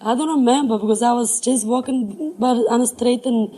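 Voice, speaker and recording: A woman's voice: fairly high pitch, brisk, dark tone, slight background noise. A woman contestant telling stories in banter on a 1950s radio quiz show. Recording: vintage 1950s radio broadcast.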